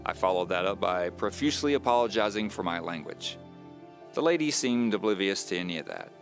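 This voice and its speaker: A man's voice: low-pitched, animated, a little fast, soft background music. A man narrating a scripted first-person mystery audio drama as a wry, self-deprecating novelist.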